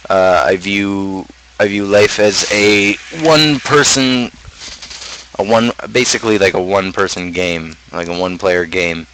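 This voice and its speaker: A man's voice: low-pitched, steady tone, a little slow, a bit bright, slight background noise, rough recording. A man streamer talking casually about his own song lyrics, rambling and thinking aloud as he goes. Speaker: streamer host and amateur rapper.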